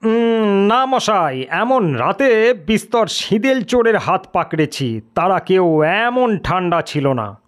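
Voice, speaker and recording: A man voicing a pompous, suspicious and superstitious village police officer in an audio story, gruff and blustering in manner. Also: high voice, big pitch swings, slow pace, pitched above the performer's own voice, heavily acted. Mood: wary, blustering. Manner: gruff, grave-toned, blustering. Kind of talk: dramatised audio storybook character.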